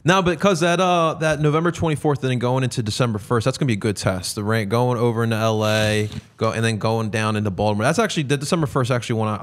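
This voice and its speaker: A young American man co-hosting a sports show with casual, conversational banter. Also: mid-range voice.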